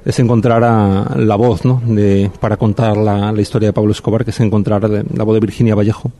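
A middle-aged man, a film director answering interview questions thoughtfully with frequent pauses and restarts. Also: on the low side, flat delivery, dark tone, slight background noise. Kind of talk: festival press interview.

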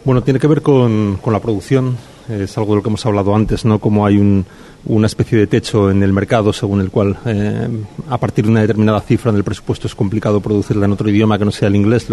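Low, dark-voiced man, measured and hesitant. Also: flat delivery, a little hiss or hum. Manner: thoughtful, hesitant, many pauses and restarts. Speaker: film director. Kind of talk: festival press interview.

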